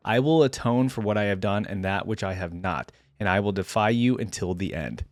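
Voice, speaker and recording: A man reading a fantasy story as a solemn, haunted, defiant desert wanderer, in a grave, formal, deliberate manner. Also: lowish voice, expressive, no room sound, clean studio sound, lightly acted.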